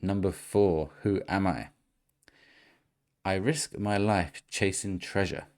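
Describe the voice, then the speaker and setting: A man's voice: deep voice, takes it slowly, a little mellow. A man hosting a casual gaming podcast, running a quiz and reading clues aloud with easy chuckles. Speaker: podcast host.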